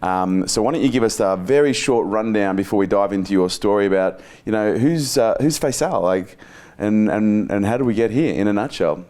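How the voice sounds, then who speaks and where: A man hosting a podcast interview, effusive and halting, with many fillers. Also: fairly low pitch, darkish tone. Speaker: podcast host. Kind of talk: casual interview chat.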